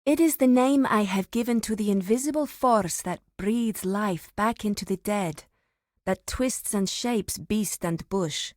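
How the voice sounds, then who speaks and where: A woman playing a reverent, solemn druid of an ancient tribe in a fantasy video game, speaking formally with deliberate pauses. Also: mid-range voice, no room sound, clean studio sound, lightly acted. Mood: solemn, contemplative.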